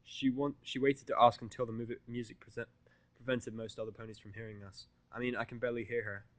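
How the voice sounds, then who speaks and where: A man's voice: medium pitch, flat delivery, quick pace. A man narrating a scripted first-person story as an audio fiction reader.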